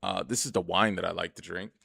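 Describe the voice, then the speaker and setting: A man's voice: sits low, fairly even intonation, a little fast, creaky, bright, forceful tone, breathy edge, no echo, studio quality. A young man streaming a game, rambling and swearing as he chats to viewers about himself. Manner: rambling, profane, chatty, often laughs. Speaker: gaming streamer. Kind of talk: casual gameplay commentary.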